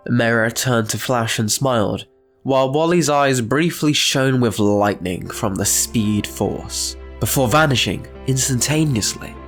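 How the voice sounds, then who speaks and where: A man's voice: medium pitch, unhurried. Male narrator telling a dramatic story over music.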